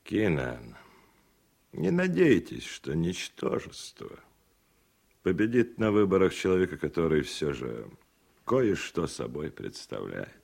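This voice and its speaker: A cynical, melancholy reporter in a radio drama. The voice is low and gravelly, swinging widely in pitch as he hands out sardonic scorn. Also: wildly swooping pitch, slow pace, darkish tone, full character voice. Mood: scornful. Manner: sardonic, emphatic. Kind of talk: Soviet-style radio play acting.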